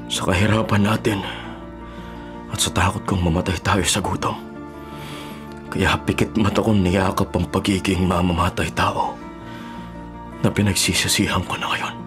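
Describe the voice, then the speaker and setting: Deep-voiced Filipino hired gun, played by a man, grave radio drama delivery over music. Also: close to an everyday voice. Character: remorseful hired killer and poor family man.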